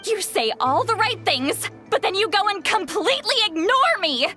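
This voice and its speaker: Game granny: elderly woman, high and very animated, hot-tempered, scolding yet caring, exasperated delivery. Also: normal speed, faint music behind, exaggerated voice. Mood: angry scolding. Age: older. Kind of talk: video game voice acting.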